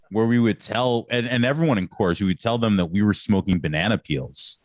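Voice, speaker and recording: A man's voice: fairly low pitch, normal speed, no echo. A man hosting a podcast, chatting casually with a former classmate, full of fillers and chuckles. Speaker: podcast host. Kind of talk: casual interview.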